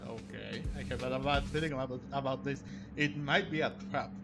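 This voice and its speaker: A man streaming a video game, reading its text aloud with a halting delivery and reacting as he goes. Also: medium pitch, gravelly. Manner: halting, stumbling read-aloud. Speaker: gaming streamer. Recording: game music behind the voice. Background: video game music.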